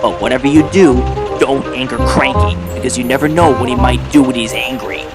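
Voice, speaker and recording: A man's voice: medium pitch, fairly quick, loud music behind the voice, close to an everyday voice. A man voicing a sensible, wry male tank engine who is often exasperated, in a children's story. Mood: warning.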